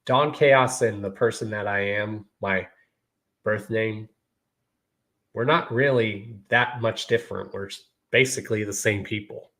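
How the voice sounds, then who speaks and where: A man in his thirties hosts his own show, speaking in a fairly low voice. He keeps it relaxed and conversational, sprinkling "you know" and "y'all" through a casual monologue to the people watching. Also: a little slow. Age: 30s. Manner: laid-back, repeats "you know" and "y'all". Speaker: podcast host.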